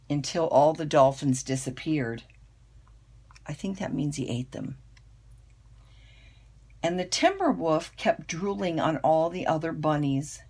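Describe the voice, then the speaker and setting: An older woman, a grandmother, reading a children's picture book aloud with expressive, storytelling emphasis. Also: lowish voice, gravelly, husky. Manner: unhurried, dramatic storytelling emphasis.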